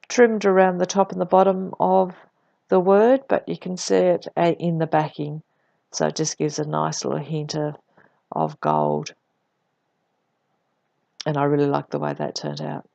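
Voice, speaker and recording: A woman narrates her crafting in a relaxed voice-over, her voice croaky and husky from a cold. Heavy gravel runs through her middle-pitched speech. The recording is dry and a little dull, like a lo-fi home setup. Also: no echo. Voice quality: deep and husky. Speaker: scrapbooking vlogger.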